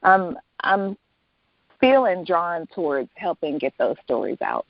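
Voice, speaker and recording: A woman's voice: fairly low pitch, very animated, measured pace, dry recording. A woman speaking as an interview guest and author, chatting casually in a reflective, conversational way. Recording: dull lo-fi sound.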